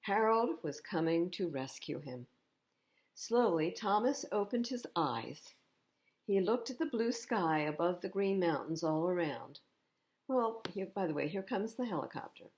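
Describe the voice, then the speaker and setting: A woman's voice: on the low side, animated, unhurried, darkish tone. A woman reading a picture book aloud to young children, expressively, with explanatory asides about the pictures. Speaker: children's storytime reader.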